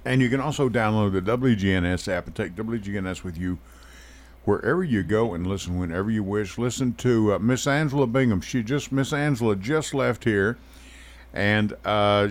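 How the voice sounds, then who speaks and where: A man's voice: on the low side, moderate pace. Joking man hosting a radio show. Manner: joking, rambling, self-deprecating. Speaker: radio talk show host. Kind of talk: casual radio interview. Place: radio studio.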